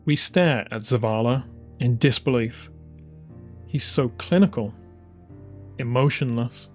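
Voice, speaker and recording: A man narrates a dramatised audiobook at a slow pace. His pitch is medium, and music plays underneath the scripted reading.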